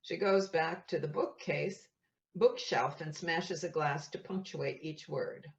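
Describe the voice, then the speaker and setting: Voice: mid-range voice, slow, muffled recording. A narrator reading out scripted stage directions for an audio play in a plain, descriptive way.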